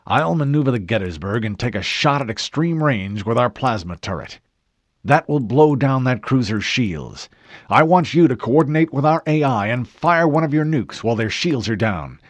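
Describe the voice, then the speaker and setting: A man voicing a gruff, commanding older male admiral who barks orders. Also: mid-range voice, expressive, moderate pace, no echo, voice pushed up, close to an everyday voice. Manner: barking orders, forceful.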